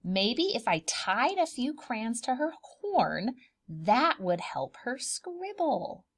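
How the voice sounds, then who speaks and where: This is a woman with a high voice reading a children's storybook. Her delivery is very animated, swinging up and down in pitch. She plays up the drama and turns to the young listeners with questions, as a preschool teacher does. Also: takes it slowly, slightly rough. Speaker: preschool teacher reading a picture book aloud. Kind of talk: animated children's storybook read-aloud with asides.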